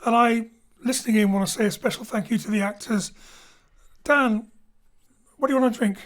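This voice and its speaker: A man's voice: extremely high pitch, fairly even intonation, brisk. A male director wraps up an episode of a radio drama in a chatty way, often restarting his sentences as he talks. He speaks on a studio mic at home over a live internet link. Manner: hesitant, chatty. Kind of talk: casual outro chat.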